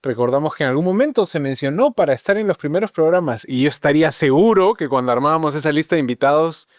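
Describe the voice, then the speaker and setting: A man's voice: on the high side, lively intonation, quick pace, dry recording, clean studio sound. A man who co-hosts a podcast, welcoming the guest in an upbeat, jokey way. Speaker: podcast co-host. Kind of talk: show welcome and banter. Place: podcast studio.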